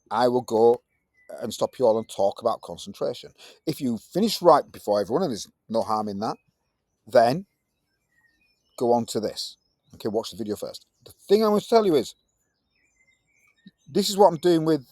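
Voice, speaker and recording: A man in his fifties with a Yorkshire accent, teaching a science lesson in a chatty, lively manner with British slang. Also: medium pitch, dry recording, clean studio sound. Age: 50s. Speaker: tutor. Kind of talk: recorded tutoring lesson.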